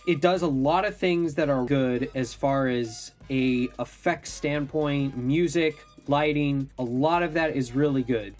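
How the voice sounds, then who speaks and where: A man's voice: mid-range voice, unhurried, few pauses, darkish tone, soft background music. A man co-hosting a movie review show, giving casual commentary in a blunt, emphatic way.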